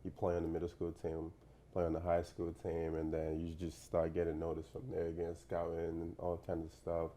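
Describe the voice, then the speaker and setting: Young man from Nigeria, low and flat-toned, relaxed interview guest. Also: moderate pace, a little hiss or hum. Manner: relaxed, rambling, many fillers and "you know". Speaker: professional basketball player, guest. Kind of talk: casual interview talk.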